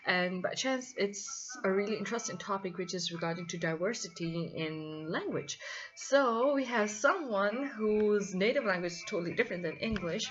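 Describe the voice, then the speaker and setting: A woman working as a student interviewer, putting semi-formal questions in halting, hesitant phrases. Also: medium pitch, lively intonation, a bit bright. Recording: dull lo-fi audio, faint music.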